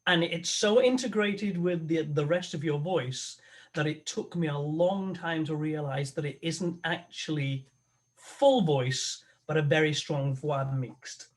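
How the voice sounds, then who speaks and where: Thoughtful man on a video call. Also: fairly high pitch. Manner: thoughtful, halting, many restarts. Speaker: guest opera tenor. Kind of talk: conversational interview over a video call.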